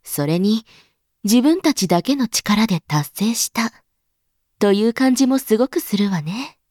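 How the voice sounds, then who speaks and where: A slow, teasing, scheming elite lady. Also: mid-range voice, animated, a little mellow, no echo, clean studio sound. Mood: sly, mocking. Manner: teasing, haughty, feminine speech with knowing asides. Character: scheming, amused elite woman who secretly engineered a revolution. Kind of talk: voice drama monologue.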